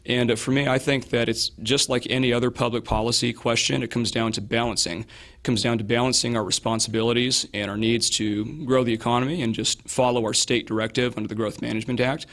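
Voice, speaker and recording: Hoarse man giving measured candidate answers. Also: mid-range voice, level tone, a bit bright. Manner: measured, hedging, many fillers and restarts. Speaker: political candidate.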